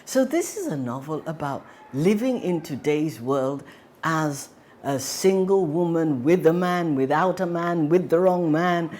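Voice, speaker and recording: A woman's voice: sits low, lively intonation, measured pace, a little mellow. A woman giving a reflective spoken testimonial.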